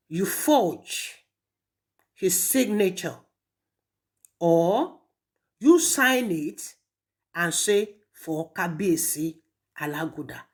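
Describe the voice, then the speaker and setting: Nigerian female voice for a stern spoken admonition: measured, slow pacing, big pitch swings, rhetorical repetition. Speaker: speaker addressing a traditional ruler in a recorded message. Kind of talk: admonishing recorded audio message.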